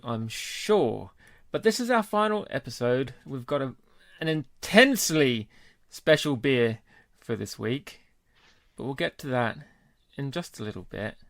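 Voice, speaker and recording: A man's voice: mid-range voice, fairly bright tone, dry recording. A man hosting a casual podcast and trading jokes with his co-host. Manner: jokey, chatty, laughs easily. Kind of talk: casual chat.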